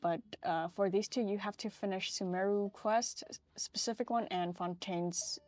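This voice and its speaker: A woman chatting casually about a game, halting and repeating words as she explains. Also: mid-range voice, fairly even intonation, darkish tone. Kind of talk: casual gaming chat.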